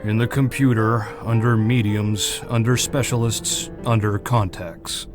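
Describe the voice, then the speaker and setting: A man's voice: lowish voice, fairly even intonation, unhurried, over music, close to an everyday voice. A man playing a stern, curt government agency boss in a visual novel game, pedantic, formal and impatient.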